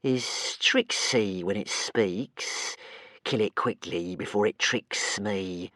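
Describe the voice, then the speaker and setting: A man voicing a slow-witted, whining underground tentacled monster that hisses its words. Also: lowish voice, bright, forceful tone, no room sound, voice pushed down. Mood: hissing. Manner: slow, drawn-out, whining hiss.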